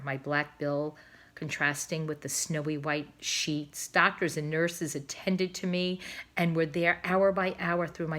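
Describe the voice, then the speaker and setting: A self-important old male swan, voiced by a woman reading a storybook. He talks in grand, theatrical phrases, milking his own adventures. The voice is sharp and bright. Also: lowish voice, lightly acted. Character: pompous, self-dramatizing old male swan, boastful husband. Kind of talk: children's storybook read-aloud.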